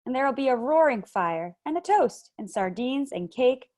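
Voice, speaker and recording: A woman's voice: high voice, expressive, normal speed, a little mellow, voice pushed up. A woman reading aloud, voicing a polite, fussy male faun who talks in an eager, formal way. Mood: courtly, eager. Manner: eager, formal, slightly flustered.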